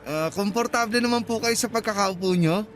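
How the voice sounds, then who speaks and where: A polite young rider, very high voice. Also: male voice, brisk, fairly bright tone, faint music behind. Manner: courteous, deferential. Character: polite young male motorcycle taxi rider. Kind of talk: radio drama acting.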